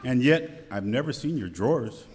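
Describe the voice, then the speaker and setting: A man's voice: mid-range voice, animated, normal speed, crowd noise, lightly acted. A man playing a fond, smitten boss in an amateur live radio skit. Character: smitten male boss, fond and long-suffering. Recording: echoey hall with background noise.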